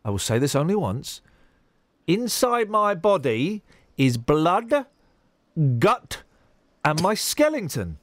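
A man's voice: high-pitched, very animated, takes it slowly, pauses often, fairly bright tone, dry recording, studio quality. A bunged-up male radio host bantering with callers in a joking, mock-indignant way. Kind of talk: playful phone-in banter. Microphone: studio mic.

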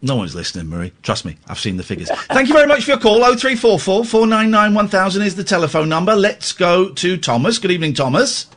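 Man, radio phone-in host, blunt and teasing, fairly high voice, very expressive pitch. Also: quick pace, few pauses. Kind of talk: late-night phone-in chat. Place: radio studio.

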